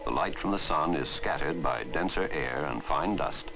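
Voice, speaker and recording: A man reading scripted narration for a 1960s educational film in a measured, formal way. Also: rumbling low, lively intonation, rough recording. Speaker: documentary narrator. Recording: 1960s film soundtrack, loud music. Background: loud background music.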